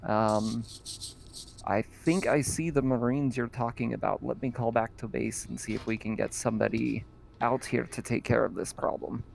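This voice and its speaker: A man voicing a gruff male mission contact who talks over the comms in a brisk, businesslike way. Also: medium pitch, steady tone, a little mellow, a little hiss or hum.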